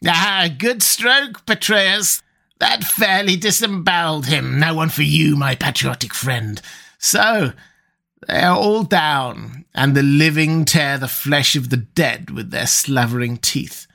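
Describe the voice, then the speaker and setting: A man's voice: fairly high pitch, takes it slowly, vocal fry, bright, forceful tone, pitched above the performer's own voice, exaggerated voice. A man voicing a scheming middle-aged priest who is gripped by fear, with a raised, theatrical, urgent delivery. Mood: gloating.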